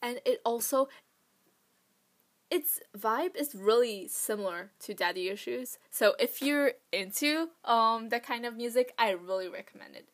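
Young woman rambling on her podcast, slow and chatty, lots of likes and ums. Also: on the high side. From Vietnamese family, raised in Poland. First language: Vietnamese. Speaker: podcast host. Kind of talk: casual solo podcast chat.

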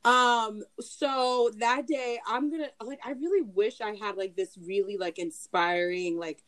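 A woman guest on a chat podcast, bubbly and excitable, telling stories casually. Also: on the high side, a little slow.

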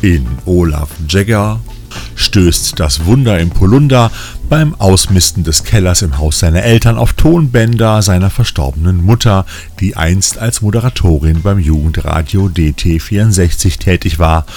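Deep-voiced man presenting film news. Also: lively intonation, normal speed, a little mellow. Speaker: host of a film news podcast. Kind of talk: scripted film preview narration. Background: faint music.

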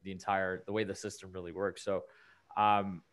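A man hosting a finance podcast in a very deep, low voice. He talks loosely, trails off and fills gaps with ums and uhs. He introduces guests at an easy conversational pace. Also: level tone, normal speed, fairly bright tone. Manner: loose, rambling with fillers. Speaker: podcast host. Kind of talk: casual podcast talk.

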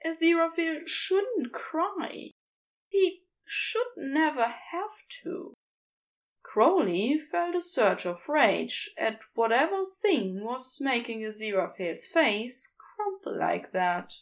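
A woman narrates a piece of fiction in a high voice. Her delivery is very animated in pitch and unhurried, measured like careful audiobook storytelling.

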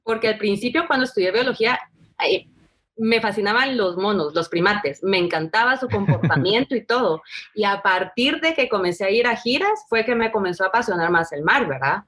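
A woman's voice: medium pitch, animated, brisk, a bit bright. A woman guest, a biologist, talking enthusiastically in a casual panel chat.

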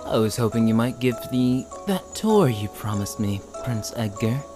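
A man's voice: mid-range voice, lively intonation, normal speed, over music, close to an everyday voice. A man playing a sly, teasing, mischievous courtly tempter in a theatrical fantasy audio drama, with an arch, coaxing manner.

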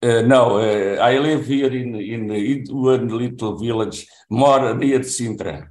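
A man in his seventies from Portugal, speaking English with a Portuguese accent, introducing himself in class in a halting way with many restarts. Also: mid-range voice, darkish tone. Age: 70s. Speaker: language student. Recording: roomy echo.

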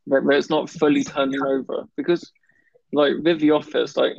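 A man chatting casually in a flat, gravelly voice, stammering and restarting on certain words. The sound is dry and a little dull, with no room in it. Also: on the high side, moderate pace, dry recording. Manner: stammers, blocks on some words, many restarts. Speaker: guest talking about his stammer. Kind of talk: casual chat.

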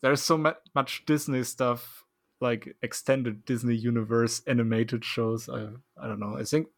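A man's voice: medium pitch, a little slow, creaky, no echo, clean studio sound. Easygoing man hosting a podcast. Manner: relaxed, laughs often, loose phrasing with fillers. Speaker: podcast host. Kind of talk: casual podcast chat.

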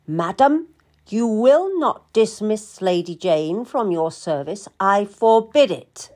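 A woman's voice: medium pitch, lively intonation, slow pace, a little mellow. A woman storyteller voicing a domineering, boisterous king with a commanding, condescending and emphatic way of talking. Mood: stern, menacing.

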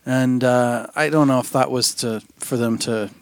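A man's voice: medium pitch, steady tone, moderate pace, no echo. Easygoing man telling stories in a dry room. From England (Cornwall). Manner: rambling, easygoing, filler-heavy, trails off softly. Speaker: podcast guest, musician and realtor. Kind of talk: casual interview storytelling.

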